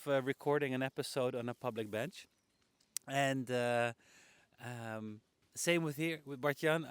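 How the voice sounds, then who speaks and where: A man's voice: medium pitch, clear, resonant tone, no room sound. A man hosts a casual interview podcast from a public bench outdoors. His voice is clean and resonant, and it sits dry, with hardly any room sound. He speaks in a conversational interviewing style.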